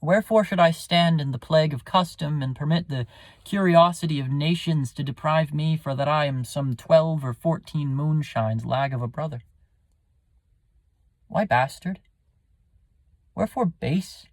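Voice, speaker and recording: Defiant, resentful schemer played by a man, gravelly. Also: on the high side, a little slow, no echo. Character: resentful, ambitious bastard son, defiant and scheming. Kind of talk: Shakespearean soliloquy.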